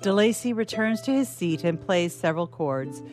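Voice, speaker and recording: A woman with a slightly low voice narrates scripted stage directions. Her reading is formal and measured. Music sits behind the narration. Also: expressive, a little mellow.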